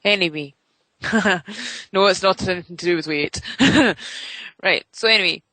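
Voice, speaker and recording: A woman's voice: on the low side, a little slow, bright, forceful tone, no room sound. A woman hosting an internet radio show, chatting casually and rambling with many fillers. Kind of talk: casual on-air chat.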